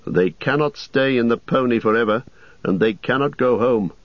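A man voicing a grim, watchful ranger who speaks low, slow and measured. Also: dry recording, lo-fi sound, natural voice. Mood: grave. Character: grim, watchful male ranger, steady and guarded.

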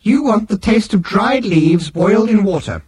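A man voicing a brisk, indignant drinks-dispensing machine in an audiobook story. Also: sits high, lively intonation, darkish tone, husky, voice pushed up. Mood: incredulous.

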